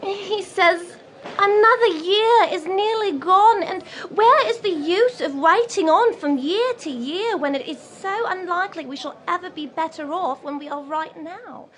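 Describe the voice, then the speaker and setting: An actress playing a loving, eager young working-class daughter, speaking emphatically in a radio drama. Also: female voice, very high-pitched, a little slow, slight background noise. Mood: earnest, hesitant. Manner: excited, teasing, emphatic.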